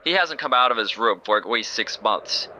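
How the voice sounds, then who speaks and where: A man's voice: medium pitch, animated, moderate pace, very noisy, natural voice. A man playing a cheerful, oddly oblivious male biologist. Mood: chipper.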